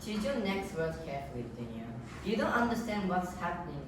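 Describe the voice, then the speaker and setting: A woman's voice: fairly low pitch, sing-song, fairly quick. Young woman as a grave father, very echoey. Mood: stern. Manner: earnest, serious. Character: grave, worried father and husband, devoted, cautious. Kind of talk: amateur student radio drama acting. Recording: echoey room, distant mic, noisy.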